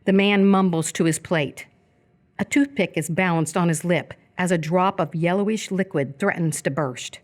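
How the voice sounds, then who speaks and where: A woman reading scripted narration for live radio theatre in a measured, descriptive way. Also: fairly low pitch.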